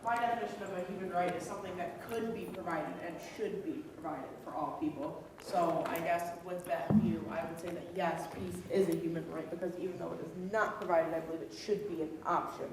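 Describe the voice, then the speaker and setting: A woman's voice: fairly low pitch, steady tone, brisk, hardly any pauses. A woman in the audience asking and answering a question, searching for her words. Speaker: audience member. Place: lecture hall with audience. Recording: very echoey hall, distant mic, room noise.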